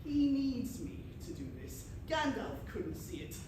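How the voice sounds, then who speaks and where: Echoey, high-pitched scheming hobbit hero played by a woman. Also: very animated, measured pace. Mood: scornful, indignant. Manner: hammy, melodramatic asides to the audience. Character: vain, jealous, scheming hobbit hero, falsely sweet. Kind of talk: amateur stage pantomime acting. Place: theatre stage, miked from a distance. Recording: echoey, noisy theatre recording from far off.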